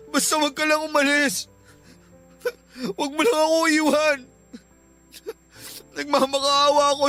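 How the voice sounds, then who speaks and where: A man's voice: very high voice, bright, forceful tone, heavily acted. A man playing a jealous, clingy and desperate boyfriend in a radio drama, insistent in manner. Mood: desperate, tearful. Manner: insistent, pleading. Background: music.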